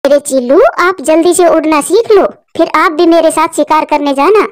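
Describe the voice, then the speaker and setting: A woman's voice: sits high, moderate pace, no echo, studio quality, lightly acted. A woman voicing a greedy, bossy mother eagle, the villain of a children's cartoon. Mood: bossy, scheming.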